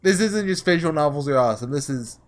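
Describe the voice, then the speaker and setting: A man doing a casual let's play, reading story text aloud and breaking off into excitable asides, with game music behind him. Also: a little high, fast. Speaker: gaming video creator doing a blind playthrough.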